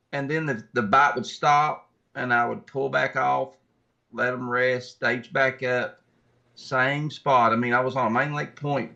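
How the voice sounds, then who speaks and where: A man's voice: mid-range voice, gravelly, pushed and bright. A man chatting casually as an interview guest in a plainspoken way, on dull lo-fi audio. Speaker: podcast guest, tournament kayak angler.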